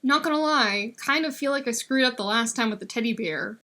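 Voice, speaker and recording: Easygoing, kind college dude for a story read by a woman, blunt, slangy, bright timbre. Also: a little high, a little fast. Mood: kind, solemn. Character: laid-back, kind young male college student cook.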